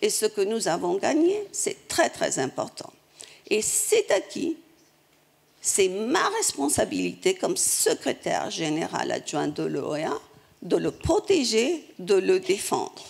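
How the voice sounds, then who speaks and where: A woman interpreting a panel speech live into French, measured and halting, restarting phrases as she goes. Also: mid-range voice, very animated, creaky, darkish tone, husky. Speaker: simultaneous interpreter.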